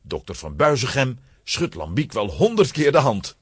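A male narrator tells a children's adventure. His pitch swings widely for drama, and the recording is close and dry. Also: very animated, moderate pace, husky, dry recording. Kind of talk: dramatic scripted storytelling for children.

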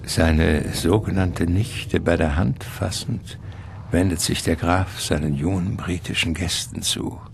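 Very deep, gravelly, slow male narrator. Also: steady tone, slight background noise. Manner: deliberate, suspenseful. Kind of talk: scripted radio drama narration.

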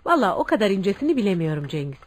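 A homely older mother in radio theatre. Her mid-pitched voice rises and falls freely, sighing and emphatic. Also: big pitch swings, rapid-fire. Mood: sighing, unsure. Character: kindly, sighing older mother of a grown son. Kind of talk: Turkish radio theatre acting.

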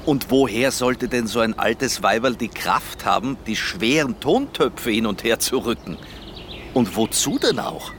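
Exasperated husband, Austrian accent, placating, logical, medium pitch, faint noise, radio drama. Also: male voice, expressive, unhurried, a bit bright. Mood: exasperated, reasoning. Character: exasperated, reasoning husband, sceptical and patient.

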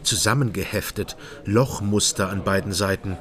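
An actor playing a dogged, middle-aged East Berlin private detective in a radio crime drama, terse and matter-of-fact. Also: male voice, lowish voice, moderate pace, a bit bright, lightly acted. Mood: sober narrating. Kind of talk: radio crime drama dialogue and first-person narration.

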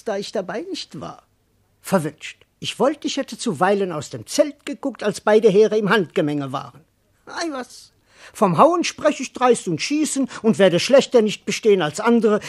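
An actor playing a boastful but cowardly servant in a classical verse radio comedy, declaiming self-importantly and muttering to himself. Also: male voice, sits high, very animated, normal speed, exaggerated voice. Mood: scheming. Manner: theatrical, declamatory, self-important, shifting between muttering and proclaiming.

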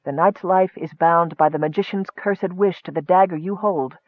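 A man voicing a serene, detached goddess, speaking softly and solemnly in a fantasy audiobook. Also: high voice, fairly even intonation, no echo, lo-fi sound, close to an everyday voice. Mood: solemn calm. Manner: soft, measured, solemn.

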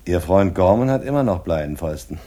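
Deep, dark-voiced police inspector. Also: male voice, expressive, quick pace, a little hiss or hum. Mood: dry, businesslike. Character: gruff, dryly humorous male police inspector. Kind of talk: crime radio drama acting.

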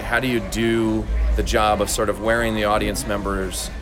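Low-voiced man, halting and thoughtful, over music. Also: level tone. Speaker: voice actor interviewed at a convention. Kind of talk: casual interview chat. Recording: convention recording with loud background music.

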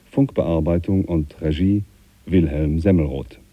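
A man reading radio drama credits as a formal announcer, in a measured manner. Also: sits low, dry recording, muffled recording.

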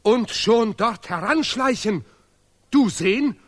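An actor playing an excitable, pompous, sentimental scholar in an absurdist radio play, declaiming chopped phrases in broken grammar. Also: male voice, high voice, slow, heavily acted. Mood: suspicious, agitated. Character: second pompous male laureate scholar, zealous, sentimental, excitable.

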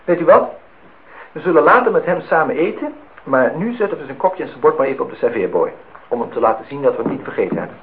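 A man's voice: on the high side, lively intonation, fast talker. An actor playing a courting bachelor department head in his thirties in a radio play, formal, teasing and a little hesitant, in intimate dialogue. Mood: eager, courteous. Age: 30s. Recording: tinny, noisy old radio play recording.

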